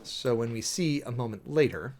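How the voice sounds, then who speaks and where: An American man reading official documents aloud dramatically and commenting closely on them, with emphatic, deliberate, theatrical phrasing. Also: medium pitch, expressive, a little mellow. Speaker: streamer, preacher host.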